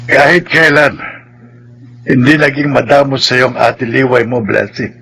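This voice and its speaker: Filipino dad in a radio drama, earnest and worried, bright tense voice, bit of music behind. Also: male voice, mid-range voice, steady tone, unhurried, vocal fry. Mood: firm reproach. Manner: earnest, emphatic, solemn. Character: earnest, worried father of two grown daughters.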